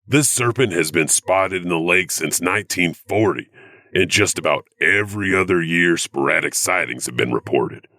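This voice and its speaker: A man's voice: low voice, expressive, moderate pace, sharp tone, no echo, exaggerated voice. A man playing a sly janitor who hosts an audio horror anthology, telling creepy tales in a theatrical, musing, wry manner. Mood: ominous. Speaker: host and narrator. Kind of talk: scripted spooky storytelling.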